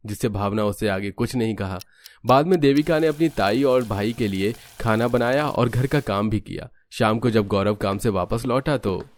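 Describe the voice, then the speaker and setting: Man, narrator, scripted cartoon storytelling, mid-range pitch, faint musical backing.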